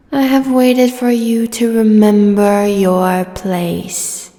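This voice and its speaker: An imperious, vengeful giant goddess of the sea, acted by a woman for a fantasy audio roleplay. Her delivery is extremely slow and theatrical, with a mid-range pitch and phrase endings that sink into breathy whispers. A long reverb surrounds every word. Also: darkish tone.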